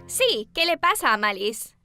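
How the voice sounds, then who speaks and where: A high-voiced sensible cartoon princess. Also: female voice, very animated, measured pace, pushed and bright, soft background music, exaggerated voice. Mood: puzzled, exasperated. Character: sensible, slightly exasperated young cartoon princess girl.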